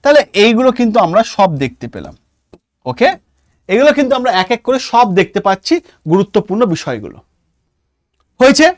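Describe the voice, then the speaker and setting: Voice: mid-range voice, very animated, fairly quick, pauses often, dry recording, clean studio sound. A teacher with an Indian Bengali accent giving an online exam lesson, explaining emphatically and often checking understanding with short questions. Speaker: exam-prep history teacher.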